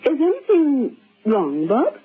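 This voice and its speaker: A woman's voice: high voice, huge pitch swings, slow, slightly rough, narrow, tinny audio, full character voice. A woman playing a smug, teasing wife in an old-time radio drama. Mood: evasive, needled. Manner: breezy, teasing, dismissive. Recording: band-limited old radio recording.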